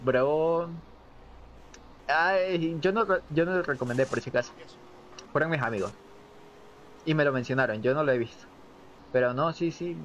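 A man's voice: fairly high pitch, moderate pace, pauses often. A man in his early twenties with a Latin American accent, casually commenting on a game in slangy, joking talk. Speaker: game streamer. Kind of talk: casual playthrough commentary, reading subtitles aloud. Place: indoor room at home. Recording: dull lo-fi stream audio with game noise. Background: video game sound.

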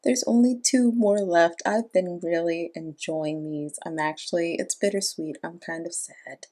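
A young adult woman giving casual reaction commentary in a gushing, expressive way. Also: fairly low pitch, measured pace. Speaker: host. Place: small bedroom, indoors. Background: music.

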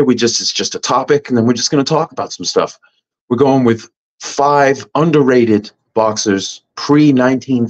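A loud-voiced man hosting a casual panel discussion over a video call. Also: rough recording. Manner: loud, booming voice. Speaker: host. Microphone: computer mic on a video call.

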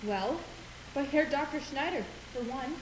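A woman's voice: high voice, expressive, measured pace. A young woman on stage playing an anxious, deferential nun. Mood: worried. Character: anxious, deferential nun serving a Mother Superior. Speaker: student stage actor. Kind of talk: stage play acting. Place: school auditorium stage, far camera. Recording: echoey, hissy stage recording.

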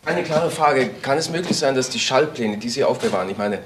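A man's mid-pitched voice in a roomy echo with faint noise , an actor's. He is a detective in a crime radio play, pointed and accusing in his questioning. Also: expressive, fairly quick, natural voice.

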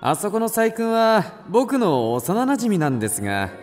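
An actor playing an easygoing, bookish detective in a radio drama, with a breezy, teasing, politely casual manner. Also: male voice, sits high, huge pitch swings, measured pace, soft background music, exaggerated voice. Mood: amused. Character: easygoing, bookish male detective, amused and nonchalant. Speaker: detective character.

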